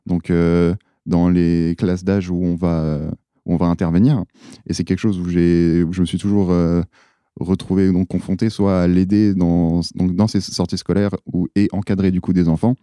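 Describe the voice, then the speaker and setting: Low-voiced hesitant young man. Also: moderate pace, dry recording. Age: 20s. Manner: hesitant, many fillers, relaxed. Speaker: student. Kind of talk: interview answers.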